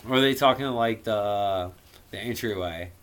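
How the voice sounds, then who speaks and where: A man's voice: fairly low pitch, normal speed, fairly bright tone. A man casually reading a novel aloud, theatrical and playful, dropping in irreverent asides of his own. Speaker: amateur reader of a novel.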